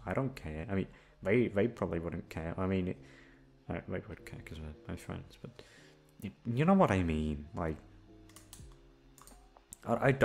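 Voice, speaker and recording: A man rambling casually in a scattered, self-deprecating way, swearing freely as he chats to listeners. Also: low-pitched. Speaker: audio roleplay creator. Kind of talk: casual rambling outro chat. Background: background music.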